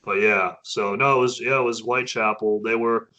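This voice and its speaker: A man, a metal band's vocalist, chatting casually as an interview guest, often halting and restarting his sentences. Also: steady tone, bright, forceful tone. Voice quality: naturally low voice. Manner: hesitant, many restarts and fillers.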